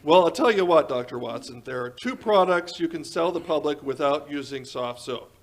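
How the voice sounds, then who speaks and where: Man acting high-pitched 1940s radio announcer. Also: expressive, normal speed. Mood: chummy. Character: smooth-talking, eager 1940s radio announcer and sponsor pitchman. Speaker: announcer and host.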